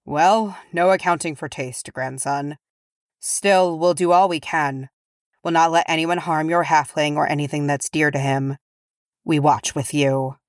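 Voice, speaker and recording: A woman voicing a regal old dwarf king and grandfather, gentle, stately and soft-spoken, in a storybook reading. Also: low voice, fairly even intonation, unhurried, no room sound, studio quality. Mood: wry, resolute.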